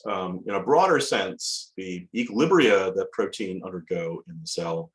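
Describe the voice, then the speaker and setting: A man with a slightly low, raspy voice, hoarse from a cold, delivers an academic talk through a video call. He speaks in a measured way, often pausing with ums and restarting phrases. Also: rough recording. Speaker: guest lecturer.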